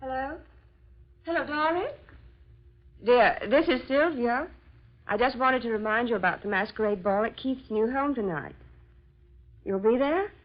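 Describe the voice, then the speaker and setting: An actress playing a gushing, refined society sweetheart in a 1940s radio drama. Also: female voice, a little high, measured pace, long pauses, close to an everyday voice. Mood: bright, sociable. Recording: muffled vintage radio recording, music behind.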